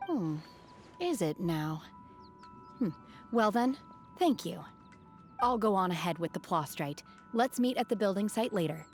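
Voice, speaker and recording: A woman playing an aloof, calm young woman in a video game, speaking in a formal, measured deadpan. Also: mid-range voice, soft background music. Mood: calm, reassuring.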